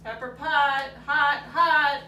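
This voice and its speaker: A woman voicing an old-time street vendor in a puppet show, loudly calling out wares. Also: sits high, steady tone, slow pace, pitched above the performer's own voice, full character voice. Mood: hawking. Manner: loud hawking calls. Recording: distant, echoey live taping with background noise.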